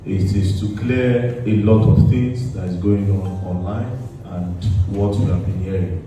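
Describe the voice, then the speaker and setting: Deep man hosting an interview. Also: unhurried. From Nigeria. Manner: chatty, loose, restarting questions. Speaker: interviewer and video host. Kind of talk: casual interview. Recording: echoey room, voice far from the mic, faint noise.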